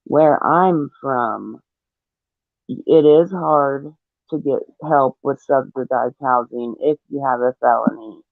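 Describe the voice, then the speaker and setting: Through a thin, phone-like line comes a man with a creaky voice. He talks slowly and deliberately, commenting casually as a panel host. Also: medium pitch, no echo. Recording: tinny phone-like band.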